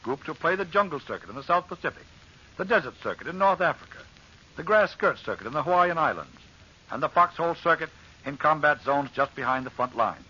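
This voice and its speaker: An earnest man delivers a scripted wartime-era radio appeal. His voice is fairly high, husky and lively in pitch, with formal phrasing. The recording is muffled vintage radio. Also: brisk. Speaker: radio director making a charity appeal. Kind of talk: scripted 1940s public-service appeal.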